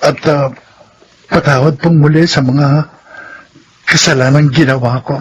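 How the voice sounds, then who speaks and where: A man's voice: fairly high pitch, fairly even intonation, a little slow, slight background noise, natural voice. A man playing a remorseful ex-convict. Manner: earnest, remorseful. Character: remorseful ex-convict son seeking a new life. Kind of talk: classic radio drama acting.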